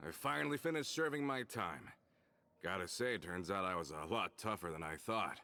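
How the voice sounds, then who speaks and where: A man voicing a hearty, boisterous gang member in a video game, speaking loudly and jovially. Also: deep voice, animated, moderate pace, creaky, exaggerated voice. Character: rough-and-tumble male gang member, boisterous.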